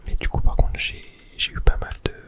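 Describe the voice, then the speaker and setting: A man speaks entirely in a soft whisper, close to the microphone. He murmurs casual video game commentary in an ASMR style, talking gently to his viewers as he plays. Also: a little fast.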